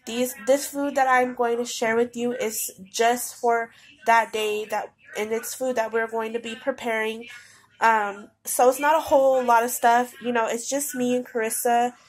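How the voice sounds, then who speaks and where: A woman's voice: on the high side, flat delivery, normal speed. A woman with a cold and a stuffed-up nose, chatting casually through a grocery haul at home, rambling with lots of ums. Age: adult with a daughter. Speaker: vlogger. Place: home, indoors. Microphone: phone camera pointed at groceries.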